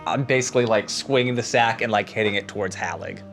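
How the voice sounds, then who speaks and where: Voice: medium pitch, fast, a bit bright. A player talking casually and slangily through moves in a tabletop game, with music under the voice. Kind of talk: casual tabletop play talk.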